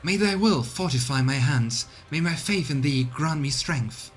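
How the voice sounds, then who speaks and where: A man's voice: fairly high pitch, animated, a little slow, soft background music, pitched above the performer's own voice. A man voicing a frenzied, zealous holy warrior woman from a game, fervent and solemn. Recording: clear background noise.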